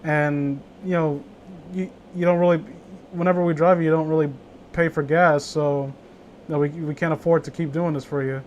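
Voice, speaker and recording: High-pitched man ranting casually, sweary. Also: steady tone, normal speed, darkish tone, highs cut off, slight background noise. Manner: rambling, profanity-laden, opinionated. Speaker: streamer hosting a group story reading. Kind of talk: casual chat.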